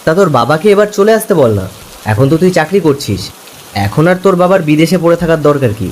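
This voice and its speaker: A man's voice: on the high side, sing-song, fast, slight background noise. A man playing a talkative, caring young friend in a cartoon story, chatty and familiar. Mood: casual.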